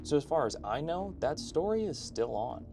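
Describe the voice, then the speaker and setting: A man's voice: a little high, very animated, normal speed, creaky. A man delivering casual, opinionated video essay commentary as a host, with informal conversational asides. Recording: background music under voice-over. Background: music.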